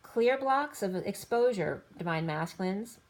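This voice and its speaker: A woman giving a rambling tarot reading, listing cards in loose fragments, her voice going a little hoarse. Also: mid-range voice, lively intonation, a little slow. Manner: rambling, lists card after card in loose fragments. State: slightly losing her voice. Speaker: tarot reader.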